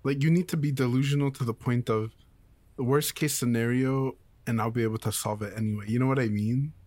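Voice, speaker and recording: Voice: mid-range voice, steady tone, brisk, darkish tone, no echo. A speaker in a casual group chat, giving breezy pep-talk advice and laughing easily.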